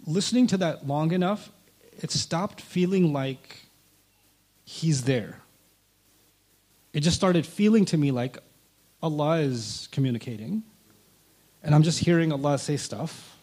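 A man's voice: a little high, slightly rough. A man whose first language is Urdu, a guest telling a personal story and acting out past conversations. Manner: animated, acts out past conversations. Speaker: interview guest.

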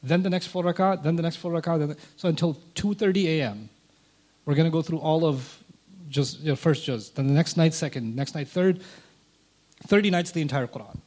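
A man's voice: on the high side, fairly quick. A man whose first language is Urdu, a guest telling a personal story and acting out past conversations. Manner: animated, acts out past conversations. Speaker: interview guest.

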